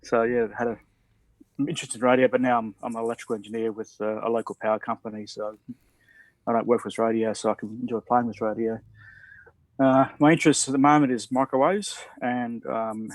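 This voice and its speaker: An Australian man casually introducing himself to a ham radio net over a video call, in a relaxed, rambling and self-deprecating way. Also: mid-range voice, no room sound, low-quality recording.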